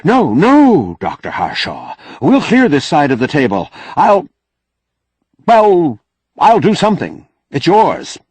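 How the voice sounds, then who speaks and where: Man acting a flustered, fussy official, animated voice. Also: on the high side, slow pace, husky, dry recording, pitched above the performer's own voice, exaggerated voice. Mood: flustered, hasty. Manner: polite, apologetic, hesitant, fussy. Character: flustered, officious younger male protocol official.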